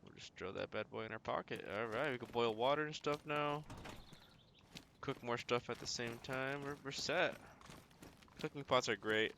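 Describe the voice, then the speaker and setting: Bright-voiced man casually narrating his gameplay. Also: medium pitch, moderate pace, creaky, a little hiss or hum. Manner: muttering to himself while playing. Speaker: game streamer.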